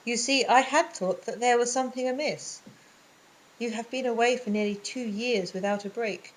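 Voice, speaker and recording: A woman voicing a stern, ponderous middle-aged male vicar, speaking in a measured, disapproving way. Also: natural voice, rough recording. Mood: reproachful. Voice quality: low and ponderous. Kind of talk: audiobook reading.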